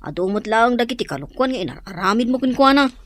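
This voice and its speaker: Frank, fairly high-voiced friend. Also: female voice, lively intonation, fast, a bit bright. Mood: reproving. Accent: Filipino. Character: frank, reproving close friend urging an apology. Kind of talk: radio drama acting.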